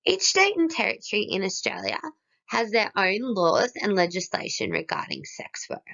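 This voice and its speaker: Female student presenter, hoarse from a cold, heavy vocal fry, animated delivery, steady list reading. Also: mid-range voice, moderate pace. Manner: steady, listing one item after another. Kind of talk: read-aloud presentation. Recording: dull lo-fi recording.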